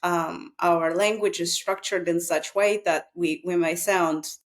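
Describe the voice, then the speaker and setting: A woman's voice: fairly low pitch, steady tone. Woman, thinking aloud. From Russia. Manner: halting, thinking aloud, frequently laughing. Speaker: psychotherapist guest. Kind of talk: unscripted reflective conversation.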